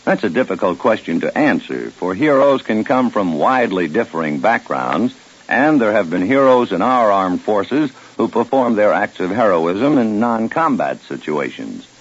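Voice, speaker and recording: A man's voice: fairly low pitch, very animated, moderate pace, breathy edge. A man with an American accent reading a formal scripted public service announcement on old-time radio. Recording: muffled, narrow old radio recording.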